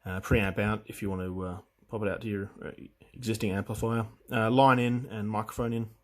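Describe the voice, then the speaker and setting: Male hobbyist presenter, lowish voice, informal and unscripted, hesitant as he talks through gear. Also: fairly even intonation. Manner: hesitant, halting, thinking aloud while handling the machine. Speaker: hobbyist presenter demonstrating vintage audio gear. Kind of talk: casual unscripted equipment walkthrough.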